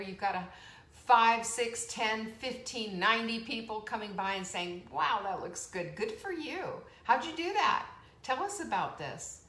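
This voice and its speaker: A woman hosting a live online motivational show, chatty and energetic, laughing often and reading out viewer comments. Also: mid-range voice. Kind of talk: motivational live-stream talk. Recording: echoey room with faint background noise.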